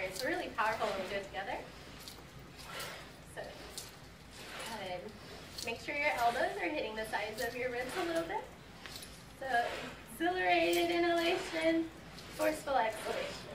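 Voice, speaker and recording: Woman coaching breathing in an echoey hall. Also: high-pitched. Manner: coaxing, instructive, laughs between cues. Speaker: conference speaker leading a breathing exercise. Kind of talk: guided group exercise at a live event. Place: conference hall, indoors. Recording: echoey hall, distant, noisy.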